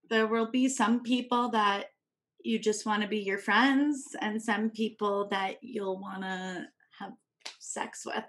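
A woman in her thirties talks candidly as a podcast guest, pausing to think as she goes. Her voice is bright and forward. She sits on a living-room sofa in front of a tabletop microphone. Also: medium pitch. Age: 30s. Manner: open, reflective, thoughtful pauses. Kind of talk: candid personal conversation. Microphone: tabletop podcast mic.

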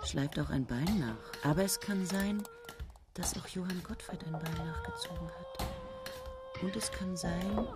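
A woman narrating a 1970s radio play in a dry, musing inner monologue. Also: fairly low pitch. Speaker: first-person narrator.

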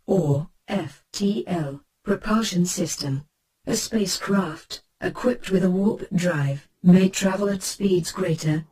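An actor voicing a calm, knowledgeable artificial ship's computer, giving scripted encyclopedic narration in a measured, formal, precise manner. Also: mid-range voice, rough recording. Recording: clear noise, spaceship ambience behind.

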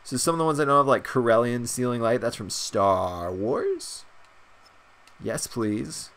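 A young man with a stuffed-up nose, chatting excitedly and rambling through a video game's shop menus as a gaming channel host. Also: mid-range voice, unhurried. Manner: excited, rambling, many fillers. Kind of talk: casual gameplay commentary.

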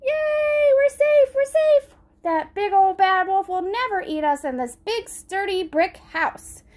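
A woman voicing frightened little pigs in a children's story, high and squeaky. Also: a little slow. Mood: joyful.

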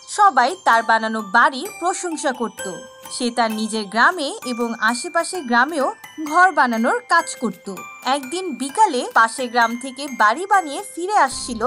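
A woman narrates a children's cartoon tale. Her voice is high and bright, with light music playing underneath. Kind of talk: scripted children's story narration.

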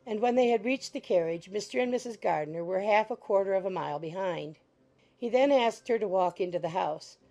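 A woman narrating a classic novel as an audiobook in an even, composed storytelling manner. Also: medium pitch.

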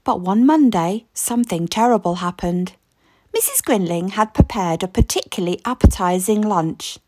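A woman reading a children's story aloud with expressive, deliberate, dramatic narration. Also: medium pitch, no room sound. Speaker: storybook reader.

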